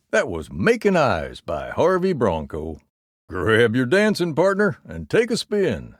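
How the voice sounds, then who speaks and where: An actor playing an easygoing, wry cowboy country radio DJ in a video game, with a folksy American Southern or Western drawl. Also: male voice, mid-range voice, no room sound, studio quality. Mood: wry, nostalgic. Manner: folksy drawl, wry, song-announcer cadence. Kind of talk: scripted country radio DJ patter.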